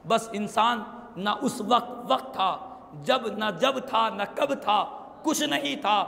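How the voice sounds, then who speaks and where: High-pitched preacher man, reverberant hall. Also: slow pace, creaky, sharp tone. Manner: emphatic, declamatory. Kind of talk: religious sermon with Arabic quotations. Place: small indoor prayer hall, seated before an audience. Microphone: stand microphone and clipped lapel mic.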